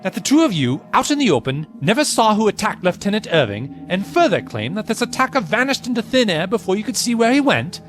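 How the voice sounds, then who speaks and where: A man's voice: high-pitched, very animated, a little fast, few pauses, faint music behind. A man playing a stern, suspicious nineteenth-century naval officer in an audio drama. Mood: accusing, scornful. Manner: stern, accusatory.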